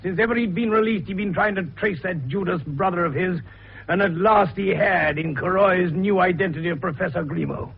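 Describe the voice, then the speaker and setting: An actor playing an older, eccentric male doctor sleuth in a radio detective drama, with a gravelly voice and an avuncular, theatrical way of explaining. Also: sits high, a little fast, exaggerated voice. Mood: emphatic, revelatory. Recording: dull, muffled radio recording.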